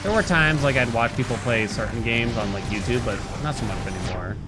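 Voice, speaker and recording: Guy streaming a game, kinda rambly n playful, chats to viewers, loud game music behind him. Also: medium pitch, fairly quick. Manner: rambling, playful, breaks into nonsense sounds. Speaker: livestreamer. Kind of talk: casual game stream commentary, chatting with viewers. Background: video game music.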